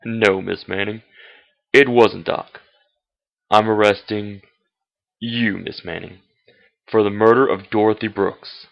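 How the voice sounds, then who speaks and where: A man playing a formal, probing police inspector in an old-fashioned radio mystery, with deliberate authority. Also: on the low side, steady tone, creaky. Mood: firm, conclusive. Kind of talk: old-fashioned radio detective drama acting. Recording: dull, lo-fi amateur radio-drama recording.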